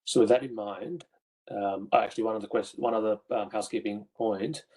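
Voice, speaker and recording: A man's voice: on the low side, fairly even intonation, brisk, a little mellow, low-quality recording. A man hosting an online seminar, giving housekeeping notes in a casual way with many fillers. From Australia. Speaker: seminar host. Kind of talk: housekeeping announcements.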